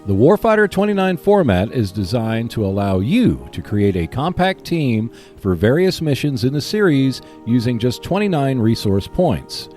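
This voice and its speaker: A man hosting a hobby podcast, reading scripted announcements in a measured, clearly enunciated way. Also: medium pitch, very animated, dark tone, faint music behind. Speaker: podcast host.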